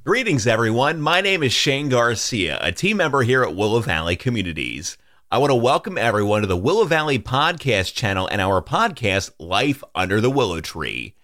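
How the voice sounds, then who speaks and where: A man hosting a podcast, reading a measured scripted introduction in a studio. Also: mid-range voice, sing-song, a bit bright, no room sound, soft background music. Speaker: podcast host.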